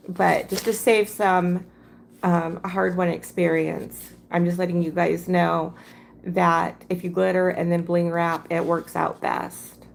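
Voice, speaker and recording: A hoarse woman hosting a casual crafting livestream, talking in a halting, rambling way. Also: lowish voice, a little hiss or hum.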